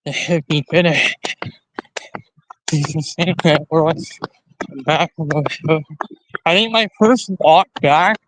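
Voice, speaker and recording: A man hosting a casual livestream, talking to viewers in a rambling, halting way, often repeating and restarting his phrases. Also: a little high, fairly even intonation, a bit bright, a little hiss or hum, poor audio. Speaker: live stream host.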